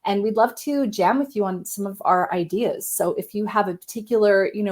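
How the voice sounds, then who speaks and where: A woman hosting a live-streamed chat, a little husky from a cold, talking in an upbeat, chatty way. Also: mid-range voice. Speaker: host.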